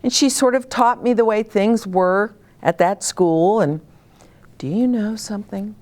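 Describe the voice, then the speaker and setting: An older woman hosting a children's story time, speaking simply and expressively to young children as she shares stories and advice. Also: mid-range voice. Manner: simple, expressive, addressing children directly, with dramatic pauses.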